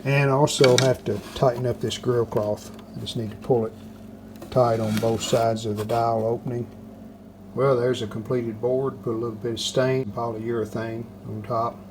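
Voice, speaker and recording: A man's voice: medium pitch, little pitch movement, moderate pace. A man casually narrating his hands-on repair work step by step, plain-spoken and matter-of-fact. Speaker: restoration video host. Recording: clearly noticeable background noise.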